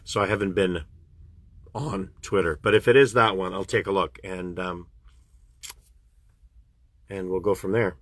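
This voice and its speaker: A man's voice: unhurried, long pauses, dry recording. A man from Canada, a voice actor, chatting casually with fans on a livestream and answering their questions. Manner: chatty and animated, jumps up in pitch when excited. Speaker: voice actor hosting a fan livestream. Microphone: phone livestream.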